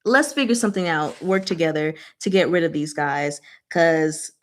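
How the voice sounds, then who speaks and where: A woman's voice: low voice, animated, normal speed. A woman hosting a casual book discussion, recapping chapters in a chatty, playful, slangy way and voicing characters' lines in everyday speech.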